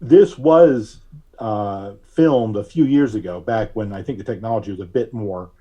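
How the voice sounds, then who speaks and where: A man being interviewed as a filmmaker, telling stories in an explanatory, conversational way with drawn-out pauses. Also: fairly low pitch, lo-fi sound. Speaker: guest, filmmaker.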